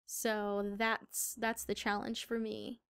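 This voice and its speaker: A woman's voice: mid-range voice, completely flat delivery, slow pace, vocal fry, a bit bright, clean tone, no echo, clean studio sound. A very young-sounding woman with an American accent, answering interview questions as a voice actor. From Southern United States.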